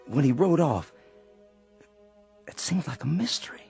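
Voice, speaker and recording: An actor playing a weary, quiet Confederate sergeant in a radio drama, speaking slowly and earnestly and often repeating words as he searches for them. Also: fairly high pitch, big pitch swings, exaggerated voice. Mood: musing. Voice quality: gravelly. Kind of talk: old-time radio drama acting. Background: faint underscore music.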